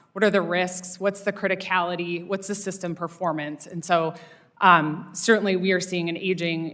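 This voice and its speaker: A woman testifying at a hearing about a report, measured and full of fillers. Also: on the low side, fairly bright tone. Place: hearing room.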